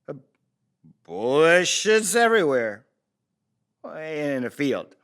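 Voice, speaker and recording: Some guy doing a bitter drunk dad, bright voice, slurring and rambling slowly through excuses in a drama read. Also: a little high, very animated, heavily acted. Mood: bitter, drunken. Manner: slurred, halting drunken delivery with rambling asides. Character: drunken bitter deadbeat father, self-excusing, crude. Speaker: voice actor at a table read. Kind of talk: dramatic monologue at a table read.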